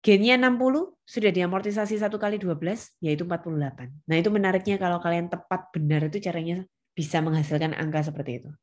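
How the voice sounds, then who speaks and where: A woman gives a lesson in a slightly low voice. She is didactic and leans on the important words. She often turns to her students to check that they understand. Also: fast. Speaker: accounting lecturer. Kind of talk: spoken lesson with questions to students.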